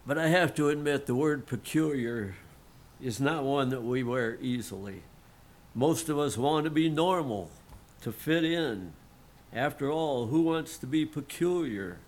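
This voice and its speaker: A middle-aged lay pastor preaches at an unhurried, deliberate pace. His voice sits at an ordinary pitch, earnest and homespun. A frog in his throat has him clearing it between phrases. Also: expressive. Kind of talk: sermon.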